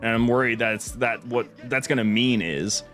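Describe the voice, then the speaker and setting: A man's voice: medium pitch, normal speed, a bit bright, soft background music. A man chatting casually and giving his opinions on a gaming stream. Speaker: stream host.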